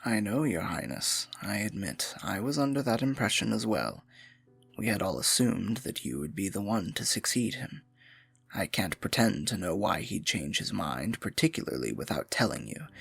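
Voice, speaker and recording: A man's voice: fairly low pitch, big pitch swings, moderate pace, creaky, fairly bright tone, slightly rough, dry recording, soft background music, heavily acted. A man playing a scheming royal advisor in a fantasy audio roleplay, silky, condescending and falsely sympathetic, often dropping to a murmur. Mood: patronizing, soothing. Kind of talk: fantasy ASMR roleplay acting, intimate and theatrical.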